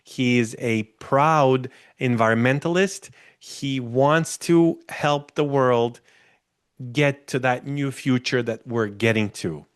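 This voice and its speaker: Smooth-voiced male podcast host, dry sound. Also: mid-range voice, takes it slowly, no room sound. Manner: friendly, introduces guest with fillers. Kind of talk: interview hosting.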